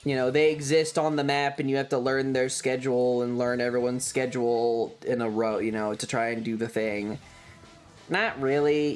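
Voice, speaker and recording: A video game streamer chatting casually in a rambling, blunt way that is peppered with swearing. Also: medium pitch. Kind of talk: casual livestream commentary.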